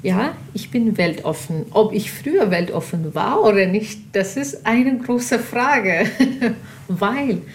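A woman's voice: mid-range voice, lively intonation, slow, a little hiss or hum. A woman, telling her story conversationally, searching for words and slipping into English. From Pakistan, of Afghan family. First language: not German. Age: 20s. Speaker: interview guest.

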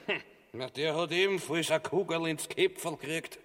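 A man's voice: fairly high pitch, normal speed, a bit bright, natural voice. An actor playing a deferential, plodding underling who reports to his chief in a grotesque radio comedy.

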